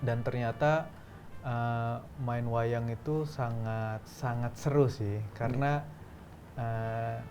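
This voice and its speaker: A man who is a guest actor, talking in a relaxed, thoughtful way with many fillers. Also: medium pitch, takes it slowly, faint music behind. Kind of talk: casual interview chat.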